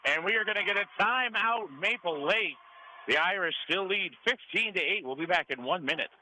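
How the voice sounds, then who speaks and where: A man's voice: high voice, animated, moderate pace, bright, forceful tone. An American man giving live play-by-play radio commentary on a volleyball match. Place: indoor school gym during a match. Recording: noisy gym ambience behind commentary.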